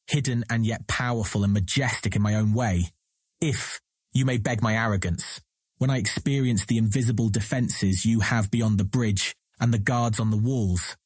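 Husky, earnest captive thief played by a man. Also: fairly low pitch, no echo. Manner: formal, measured, eloquent. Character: captured male thief, earnest, pleading, proud and eloquent. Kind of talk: dramatised fantasy audiobook acting.

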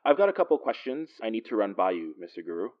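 A man's voice: lowish voice, sing-song, quick pace, lo-fi sound. A man playing a confident, wisecracking police detective in an audio drama, with a dry, teasing, natural delivery. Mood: brisk, dry.

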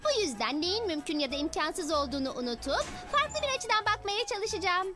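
Super high bossy-friendly cartoon girl leader, determined n expressive, music playing under it. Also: fairly quick. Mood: confident. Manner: decisive, rallying, expressive. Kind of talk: animated children's cartoon dubbing. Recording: music behind the voice.

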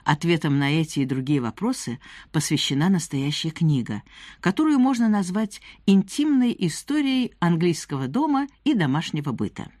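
A woman narrating a nonfiction audiobook, reading from a script with measured, articulate phrasing. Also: lowish voice, big pitch swings, darkish tone, no echo, clean studio sound.

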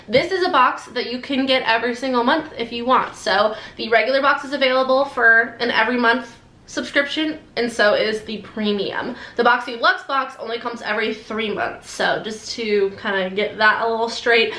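Young woman beauty vlogger, nasal and a bit congested, bright tone, fairly high, echoey room. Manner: bubbly, gushing, quick asides. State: sore throat, congested. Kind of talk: casual product unboxing to camera. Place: decorated bedroom, indoors.

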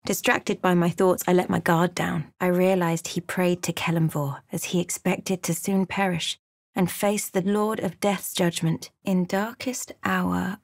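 A woman voicing a solemn adventurer who narrates a fantasy game journey in a measured storytelling manner. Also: lowish voice, dry recording, studio quality.

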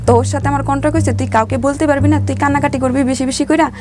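A woman's voice: high voice, fairly even intonation, racing, over music. A woman with a Bangladeshi Bengali accent telling her life story as an interview guest, colloquially, voicing the dialogue she recalls. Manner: colloquial, acts out reported dialogue.

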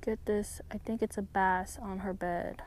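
A woman's voice: mid-range voice, fairly even intonation, measured pace, darkish tone. A woman chatting casually to her viewers while fishing, laughing often, filmed on a head-mounted camera outdoors at night. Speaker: vlogger. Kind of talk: casual fishing vlog chat.

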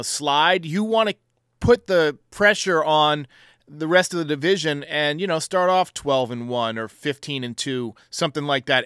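Bright, clear male sports show host. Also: fairly high pitch, dry recording, clean studio sound. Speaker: host of a baseball voicemail show. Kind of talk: sports commentary answering callers.

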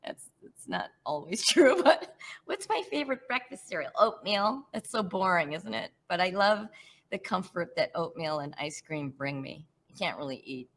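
A middle-aged woman, an actress, answering fan questions in a chatty, animated way over a headset on a video call from home. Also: fairly low pitch, normal speed, slight background noise. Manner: chatty, warm, animated, laughs often. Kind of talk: casual virtual panel Q&A.

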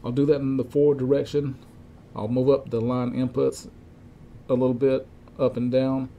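An older man talks through an equipment demonstration in a low-key, mellow voice with a gravelly edge. He goes step by step, haltingly and methodically. Faint room noise sits behind him in a workshop. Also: dull audio. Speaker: repair technician demonstrating equipment checks. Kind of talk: informal instructional demonstration. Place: cluttered indoor electronics workshop. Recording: clear steady background room noise.

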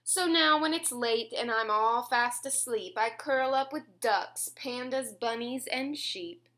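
A woman in her twenties reading a picture book aloud at home, expressively and clearly phrased for young children. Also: fairly high pitch, slow pace, bright, forceful tone. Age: 20s. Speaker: children's story reader. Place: small room at home, indoors.

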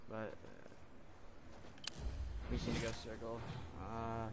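Teen boy with a low voice. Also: completely flat delivery, takes it slowly. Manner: low voice, hesitant, many restarts. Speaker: teen gamer. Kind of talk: casual gaming chat. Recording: clicking noise behind.

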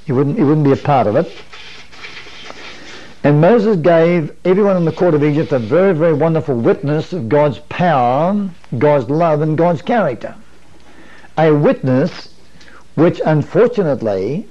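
A man's voice: fairly high pitch, warm tone. An older man leading a camp meeting Bible study in a wood-paneled room, asking his listeners questions and repeating their answers. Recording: dull lo-fi 1980s videotape.

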